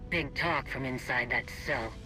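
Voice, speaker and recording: An actor playing a brash, distrustful warrior leader in a video game, blunt, scornful and impatient. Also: male voice, mid-range voice, measured pace, pushed and bright, a little hiss or hum. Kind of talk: dramatic video game acting.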